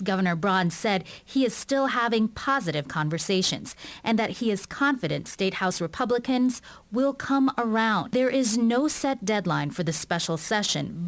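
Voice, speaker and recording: A woman working as a TV news reporter, narrating a scripted news report. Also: mid-range voice.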